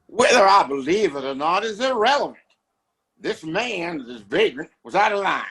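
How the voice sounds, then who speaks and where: Male actor as domineering Western patriarch for audio play: self-righteous, formal, very animated, husky. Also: sits high, moderate pace, full character voice. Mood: stern, scornful. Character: pompous, domineering father who runs a Western town. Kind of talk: Western audio drama acting.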